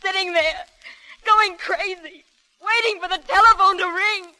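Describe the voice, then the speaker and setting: Shrill, distraught pilot's wife. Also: female voice, fairly even intonation, a little slow, bright, forceful tone, heavily acted, low-quality recording. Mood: anguished, pleading. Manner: theatrical, agitated, pleading. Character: distraught, bitter pilot's wife, overwrought and accusing. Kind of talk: 1930s radio melodrama acting.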